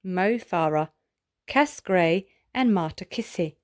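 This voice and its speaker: A woman reading a picture book aloud to young children in an expressive, sing-song, exaggerated storyteller's delivery. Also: lowish voice, slow.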